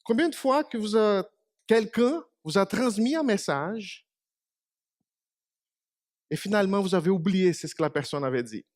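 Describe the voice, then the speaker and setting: A man's voice: high-pitched, expressive, normal speed, pauses often, dry recording, clean studio sound. A man whose first language is Portuguese, giving a short church meditation in a small congregation and asking them questions. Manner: conversational, asks the congregation questions. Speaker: preacher.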